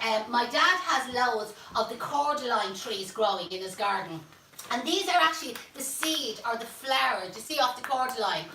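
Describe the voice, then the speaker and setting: A woman's voice: fairly high pitch, pushed and bright. A woman with a Dublin accent, hosting a live flower-arranging class and chatting her way through the demonstration. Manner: chatty, homely, jokes asides. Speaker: florist teacher and host. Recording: roomy, mic at a distance.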